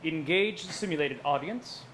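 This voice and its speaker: An actor playing an emotionless, earnest android officer with stiff, precise speech in a musical comedy stage reading. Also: male voice, on the high side, expressive, moderate pace, a bit bright, a little hiss or hum, rough recording. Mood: formal, deadpan. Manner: stiff, formal, precise wording. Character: emotionless male android officer, earnest, formal, staging a show. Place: live staged reading at a theater festival.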